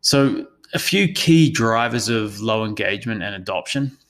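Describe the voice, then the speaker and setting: Male presenter, New Zealand accent, lowish voice, professional and explanatory, for a business webinar. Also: animated, moderate pace, fairly bright tone. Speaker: analytics consultant presenting a session.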